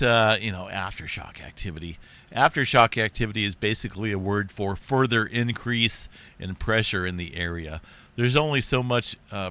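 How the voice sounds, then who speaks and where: A man hosting an earthquake update in a rambling, chatty way, his voice deep and congested from being sick. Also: sharp tone. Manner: rambling, chatty, says "right?" and "big time" often. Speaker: earthquake and space weather channel host. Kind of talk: casual screen-share update.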